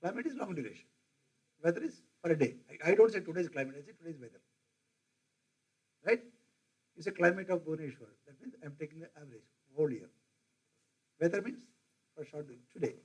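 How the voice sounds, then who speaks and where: A man's voice: fairly high pitch, expressive, brisk, pauses often, darkish tone, breathy edge, rough recording. An older man with an Indian English accent giving a rambling lecture to teachers, often asking his audience questions. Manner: digressive, asks the audience questions. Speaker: professor. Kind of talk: lecture to faculty.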